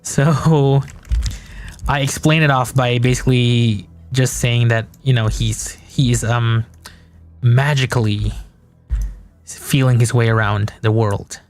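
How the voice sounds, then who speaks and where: A man's voice: medium pitch, fairly even intonation, slow pace, soft background music. Malaysian man rambling while modeling. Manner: rambling, thinking aloud with restarts and chuckles. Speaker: game developer streamer. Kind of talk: casual live-stream chat while working.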